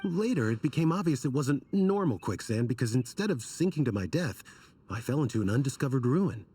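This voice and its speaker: An actor playing an earnest, troubled and apologetic desert scholar in a video game, wordy and theatrical in manner. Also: male voice, mid-range voice, sing-song, brisk, dark tone, breathy edge, no room sound, far from the mic, faint music behind. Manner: wordy, self-conscious, theatrical.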